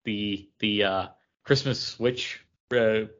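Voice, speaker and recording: A man's voice: fairly low pitch, steady tone, takes it slowly, a bit bright. A man co-hosting a podcast, chatting casually as he plays a trivia game. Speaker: podcast co-host. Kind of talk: casual chat during a pub trivia game. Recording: dull, band-limited lo-fi audio.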